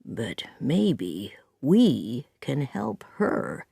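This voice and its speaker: A woman voicing a quiet, shy older farmer who speaks slowly and softly. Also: extremely low pitch, big pitch swings, no room sound, clean studio sound, pitched below the performer's own voice, full character voice. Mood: gentle. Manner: slow, soft-spoken, hesitant.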